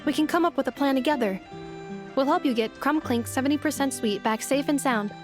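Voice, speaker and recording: Woman as polite robot caretaker, high voice, formal, measured, precise, composed, anime game. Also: over music, natural voice. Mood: gently concerned.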